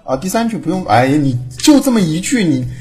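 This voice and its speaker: A man's voice: on the high side, very animated, quick pace. A man chatting casually as a voice director, teasing and often repeating himself. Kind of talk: casual bantering chat. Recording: clear music behind the voice. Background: background music.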